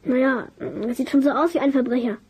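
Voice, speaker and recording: An actor voicing an eager, cheeky child detective in a German children's radio play, excitable and quick to judge. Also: high-pitched, husky, full character voice. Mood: conspiratorial.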